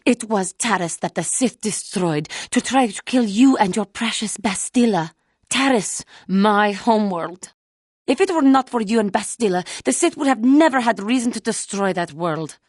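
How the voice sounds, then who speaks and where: An actress voicing a proud, solemn alien woman warrior in a video game, with formal, measured diction and a wounded, bitter temper. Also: mid-range voice, expressive, no room sound, clean studio sound, close to an everyday voice. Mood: angry, accusing. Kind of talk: scripted game character dialogue.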